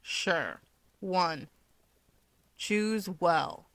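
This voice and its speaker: A woman's voice: lowish voice, lively intonation, extremely slow, fairly bright tone, no echo, full character voice. A woman voicing a taunting, dismissive young man with a grudge in a fan fiction audiobook. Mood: curt, dismissive. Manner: sardonic, dismissive.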